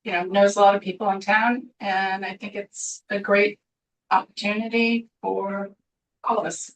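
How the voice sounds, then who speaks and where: A woman on a town committee who speaks briskly and in a friendly way during a boardroom meeting. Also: mid-range voice, flat delivery, a little hiss or hum. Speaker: committee member. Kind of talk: meeting discussion. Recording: distant room mic.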